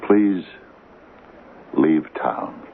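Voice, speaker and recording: Troubled small-town mayor, radio drama. Halting, humble, swinging expressively from a very deep register. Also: male voice, lively intonation, gravelly, exaggerated voice. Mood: pleading. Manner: halting, stammering, earnest. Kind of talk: old-time radio drama acting. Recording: phone-like, noisy old radio recording.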